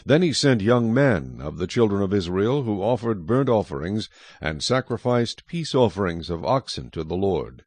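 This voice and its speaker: A man narrating scripture in a measured, solemn, scripted style for a dramatized audio Bible. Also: fairly low pitch, very animated, a little mellow, dry recording.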